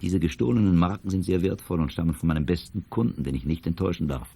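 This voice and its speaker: An actor playing a courteous, middle-aged auction house director in a children's audio drama, speaking in a formal, measured way. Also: male voice, low voice, creaky, mellow, slightly rough, heavily acted. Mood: earnest, confidential. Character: courteous, businesslike male auction house director, discreet and earnest.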